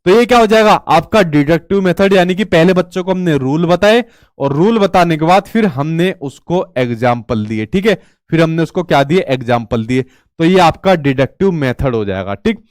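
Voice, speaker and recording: A man's voice: on the high side, animated, moderate pace, clear, resonant tone, no echo, clean studio sound. A male teacher giving an exam-prep lecture in a chatty way, asking rhetorical questions and telling stories.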